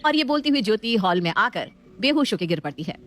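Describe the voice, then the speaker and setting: A woman's voice: mid-range voice, sing-song, fast, fairly bright tone. A woman storyteller narrating a scripted family tale.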